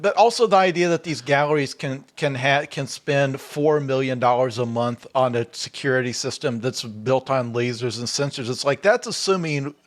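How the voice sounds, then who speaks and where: Man, mid pitch, casual podcast storyteller; animated, acts out quotes. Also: normal speed, few pauses.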